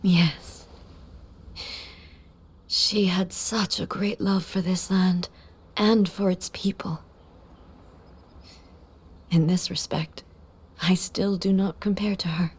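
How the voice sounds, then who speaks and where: Soft, breathy, solemn immortal goddess. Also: female voice, fairly low pitch, fairly even intonation. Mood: wistful, grieving. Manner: measured, formal, elegiac. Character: solemn, grieving immortal ruler goddess, formal and composed. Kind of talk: dramatic video game voice acting.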